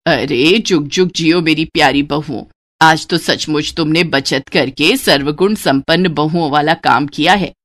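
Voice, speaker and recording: A woman's voice: low voice, measured pace, fairly bright tone, no echo, studio quality, pitched below the performer's own voice. A woman voicing a middle-aged mother-in-law, a fond but exasperated matriarch with a motherly, chiding manner, in a story. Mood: pleased.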